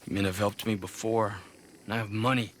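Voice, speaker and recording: An actor playing a proud, aggrieved young warrior in a video game, speaking in formal, earnest declarations. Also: male voice, fairly low pitch, fairly even intonation, moderate pace, a bit bright, slight background noise, close to an everyday voice. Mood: indignant. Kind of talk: video game cinematic acting.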